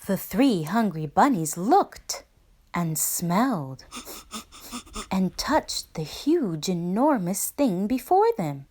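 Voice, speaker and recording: A woman reading a children's picture book aloud, expressively and dramatically, with drawn-out emphasis on key words. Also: medium pitch, sing-song, darkish tone. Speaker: read-aloud storyteller.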